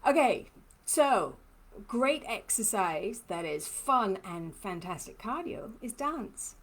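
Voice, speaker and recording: A woman's voice: mid-range voice, sing-song, takes it slowly, fairly bright tone. Chatty therapist lady from trinidad, mishmash accent nobody can place, live stream vibe. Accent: mixed, hard-to-place blend. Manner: chatty, personal, laughs often. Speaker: lymphoedema therapist. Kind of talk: casual live-stream talk, reading viewer comments.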